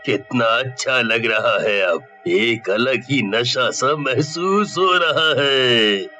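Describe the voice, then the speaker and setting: A man voicing a scornful, gloating devil in a village bully's body for a cartoon story, with a menacing, drawn-out delivery. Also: medium pitch, lively intonation, bright, forceful tone, faint music behind. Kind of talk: Hindi cartoon story acting.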